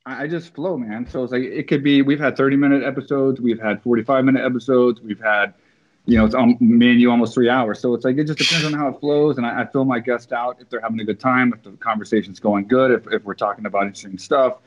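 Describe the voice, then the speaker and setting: A man talks in an easygoing, rambling way over a video call. His words come quickly. The audio sounds dull and lo-fi. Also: mid-range voice, steady tone. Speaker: podcast host, guest. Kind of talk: casual video-call chat.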